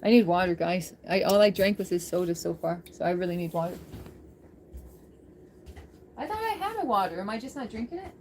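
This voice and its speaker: Casting: woman livestream host, mid-pitched voice, informal and giggly, loose rambling chat delivery. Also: animated, brisk, long pauses, a little mellow, a little hiss or hum. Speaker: livestream host chatting with viewers.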